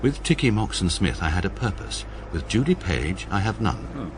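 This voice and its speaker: Upper-class English barrister, very deep, animated pitch, pompous, precise, deadpan, radio comedy narration. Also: male voice, moderate pace, noisy background. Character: pompous, coldly logical English establishment barrister narrating his life. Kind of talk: scripted first-person narration in radio comedy drama.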